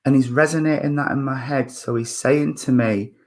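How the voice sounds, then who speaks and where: A man in his fifties with a husky voice, giving a spiritualist mediumship reading in a halting way, with many fillers and restarts as he thinks aloud. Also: medium pitch, fairly even intonation, a little mellow. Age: 50s.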